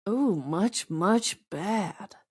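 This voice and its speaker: A woman co-hosting a casual comedy podcast in an expressive, exclamatory way. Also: medium pitch, extremely slow, breathy edge. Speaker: podcast co-host.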